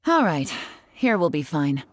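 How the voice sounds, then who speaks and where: A woman playing a cool, composed, shrewd investigator in a video game, speaking in a measured way. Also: sits low, sing-song, no echo, heavily acted. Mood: focused. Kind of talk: game voice acting. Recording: music behind the voice.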